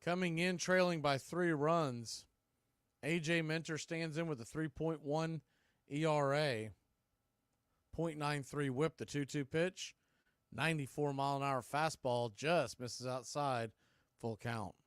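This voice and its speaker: Male play-by-play baseball announcer. Crisp, slightly high voice, very dry sound, clipped live calls. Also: unhurried, no room sound. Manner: clipped phrases, calls rising on the action. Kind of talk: live play-by-play commentary on a stream.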